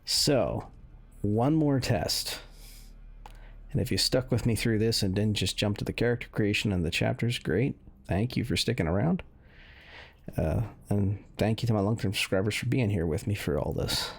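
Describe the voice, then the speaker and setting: A man giving casual, off-the-cuff game commentary, conversational and thinking aloud in a measured way. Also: lowish voice, creaky, breathy edge. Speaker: gaming video host, commentator. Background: music under the voice.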